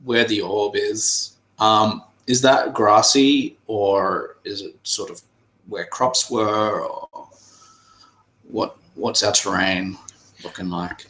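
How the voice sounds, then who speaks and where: A low-voiced man talks through his character's moves slowly, pausing often with ums and restarts. His voice sits in a roomy, echoey space. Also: steady tone, a bit bright. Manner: hesitant, many fillers. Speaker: player. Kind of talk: tabletop game play.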